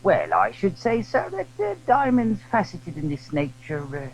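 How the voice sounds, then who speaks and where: An actor playing a deferential, shrewd goldsmith in a period audio drama, with a husky voice and a hesitant, drawn-out manner. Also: male voice, high voice, wildly swooping pitch, heavily acted. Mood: hesitant, flattering. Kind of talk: period radio drama acting. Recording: dull old audio drama recording.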